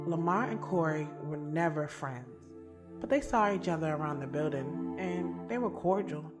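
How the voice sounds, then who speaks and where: A woman playing a bold, frank schoolteacher, narrating an audio drama in a conversational, slangy, confiding way. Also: low voice. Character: bold, frank, strong-willed adult woman schoolteacher narrating her love life. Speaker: narrator and lead actor in an audio drama series. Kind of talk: first-person dramatic narration, conversational and streetwise.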